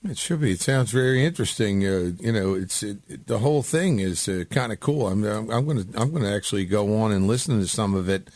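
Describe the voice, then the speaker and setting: A man's voice: lowish voice, expressive, fairly quick, few pauses, a little mellow, no echo. A man hosting a casual radio interview, chatting in an informal, rambling way. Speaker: radio show host.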